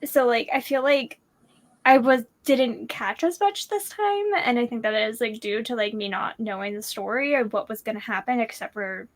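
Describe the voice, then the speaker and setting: A woman in a casual book discussion, giving her opinions as a panelist in a halting, informal way, thinking aloud. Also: on the high side.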